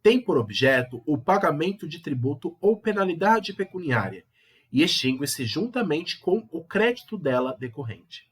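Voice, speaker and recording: Brazilian guy teaching law online, kinda hoarse from a cold, husky voice, repeats stuff to drive it home. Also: very animated, normal speed. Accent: Brazilian Portuguese. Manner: explanatory, repeats key terms, rises in pitch for emphasis. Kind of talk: online video lesson.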